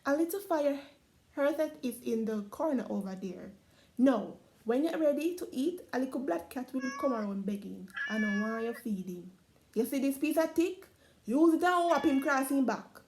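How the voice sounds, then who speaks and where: A woman's voice: high voice, animated, normal speed, a little mellow, slight background noise, poor audio. A woman voicing a stern, mysterious old woman who gives orders in Caribbean creole speech. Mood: commanding.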